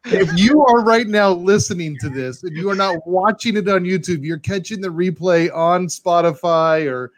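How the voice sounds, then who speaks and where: A man's voice: high-pitched, moderate pace, few pauses, no echo. A man hosting a casual podcast in an upbeat way, reading out viewer questions and pointing the audience to the replay. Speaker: podcast host.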